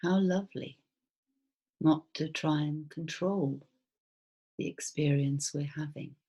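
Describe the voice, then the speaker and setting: Female meditation guide, low and breathy, soft and soothing, very unhurried delivery with long pauses. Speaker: mindfulness teacher. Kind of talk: guided body scan meditation.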